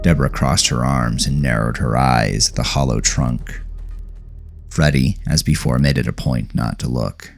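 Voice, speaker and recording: Very deep, gravelly male storyteller. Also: moderate pace, faint music behind. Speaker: audiobook narrator. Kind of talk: scripted horror story narration.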